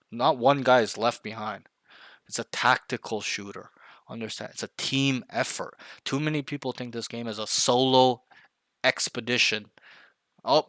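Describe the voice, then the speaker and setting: A man's voice: mid-range voice, pushed and bright, dry recording. A man coaching a younger player, blunt and emphatic, repeating key points to drive them home. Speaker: game coach. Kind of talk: coaching session, direct feedback.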